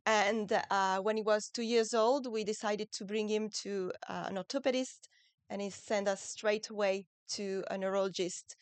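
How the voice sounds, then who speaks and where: Soft-spoken French-accented woman. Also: mid-range voice, steady tone, clean tone, no echo. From France. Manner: gentle, halting non-native English phrasing. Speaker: guest. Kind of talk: casual interview.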